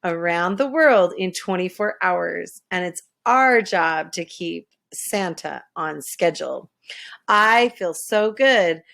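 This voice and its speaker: A woman reads a children's storybook aloud at a leisurely pace. Her voice rises and falls in a lively, dramatic way, like someone performing each line for young listeners. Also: lowish voice, takes it slowly, creaky. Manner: animated, dramatic, with hushed asides. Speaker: storybook reader.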